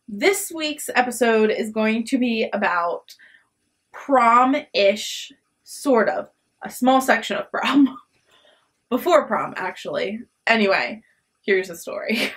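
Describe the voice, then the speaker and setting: A woman's voice: mid-range voice, fairly bright tone. A young woman hosting her own vlog, telling a funny story in a chatty way with lots of fillers and laughs. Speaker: vlog host. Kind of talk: casual storytelling.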